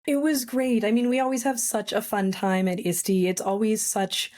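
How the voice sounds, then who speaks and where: A woman speaking as a guest on an education podcast. Her voice is slightly raspy, and she talks in a friendly, chatty way with frequent fillers. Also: mid-range voice, steady tone, normal speed, a little mellow. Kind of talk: podcast interview.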